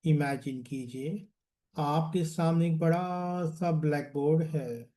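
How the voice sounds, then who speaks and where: A man leads a guided relaxation as a meditation coach, soothing and deliberate, repeating phrases and letting them trail off. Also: a little high, warm tone.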